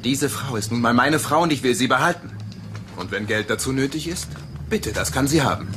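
A man's voice: medium pitch, expressive, brisk, over music, natural voice. A man playing a weak, lovestruck, scheming nephew in a German crime audio drama, emotional in his delivery. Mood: defiant.

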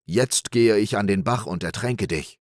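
A man voicing a big, hot-tempered, greedy peasant in a storybook reading, gruff and threatening. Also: fairly low pitch, measured pace, no echo, clean studio sound, lightly acted. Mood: angry.

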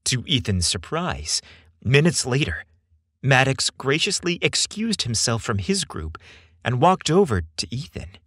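A man narrating a scripted story as a podcast storyteller, with measured, theatrical and deliberate phrasing. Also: mid-range voice, big pitch swings, a bit bright, breathy edge, no room sound, studio quality.

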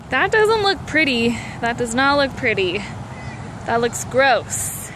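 A high, lively young woman's voice, giggling and teasing through a casual vlog. She talks slowly into a phone held at arm's length outdoors, with clear noise from the park around her. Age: young adult. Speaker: vlogger. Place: outdoors in a city park and on a mountain trail.

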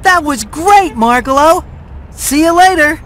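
A woman's voice: high-pitched, animated, measured pace, slight background noise. A woman voicing a plucky, polite young boy mouse in a video game, chipper and earnest. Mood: cheerful. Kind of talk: video game cutscene voice acting.

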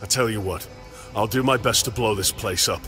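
A man playing a gruff, blunt, hot-tempered armoured soldier in a video game, with terse delivery. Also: on the low side, brisk, bright, forceful tone, faint music behind. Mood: grim, resolved. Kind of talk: video game character dialogue.

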